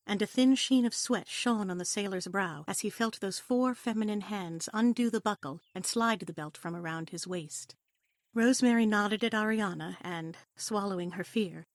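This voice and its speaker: A woman narrates an audio play. Her voice sits at a middle pitch, and she reads the scripted prose as dramatic storytelling. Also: no echo, studio quality.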